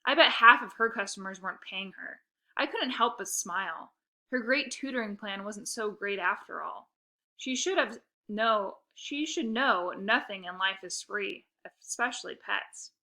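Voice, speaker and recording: A woman narrating a children's story aloud with expressive, scripted delivery. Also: medium pitch, a little slow.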